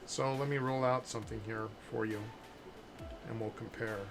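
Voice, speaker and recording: A man's voice: medium pitch, normal speed, gravelly, music in the background. A man sculpting on a live stream, chatting casually with viewers and thinking aloud as he works. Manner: relaxed, joking, thinking aloud while sculpting. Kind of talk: casual chat while working.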